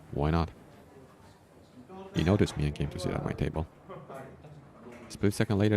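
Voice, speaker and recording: A man streaming a visual novel, reading its story text aloud in an unhurried way with casual asides. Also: very deep voice, gravelly, darkish tone. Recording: music playing behind the voice. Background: background game music.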